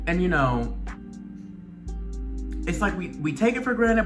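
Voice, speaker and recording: An earnest man with an Atlanta accent talks straight to his viewers in a mid-range voice. He leans on key phrases and repeats them for emphasis. Plucked guitar music plays clearly behind him. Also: mid-range voice. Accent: Atlanta, Southern US. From Atlanta, Georgia, United States. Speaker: video blogger, show host. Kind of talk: personal spoken commentary to viewers.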